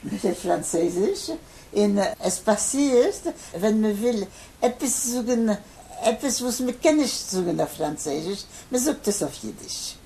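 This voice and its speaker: An older man who grew up in Poland, his first language Polish, answering interview questions haltingly with many pauses and fillers. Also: high-pitched, lively intonation, mellow. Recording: faint background noise.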